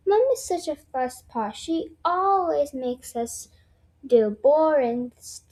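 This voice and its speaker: A woman's voice: high-pitched, animated, takes it slowly, a little mellow. A woman voicing a playful, impatient young puppy girl from a storybook, in a childlike, whiny way. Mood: complaining.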